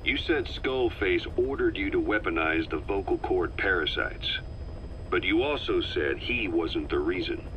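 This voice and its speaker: A man playing a gruff military interviewer in a video game, casual and probing yet sympathetic, on a lo-fi tape recording. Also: deep voice, lively intonation, unhurried. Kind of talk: game dialogue, questioning debrief. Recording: dull lo-fi tape, faint noise.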